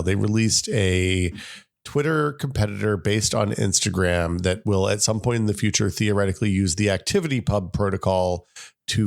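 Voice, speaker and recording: A man's voice: sits low, vocal fry. A man co-hosting a casual tech podcast, sounding scratchy from a summer cold, rambling with many restarts and fillers. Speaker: podcast co-host.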